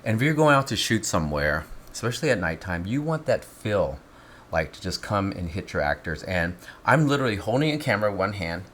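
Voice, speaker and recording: A man in casual instructional chat, explaining his film gear step by step with lively, teasing asides. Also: on the low side, brisk, slight background noise.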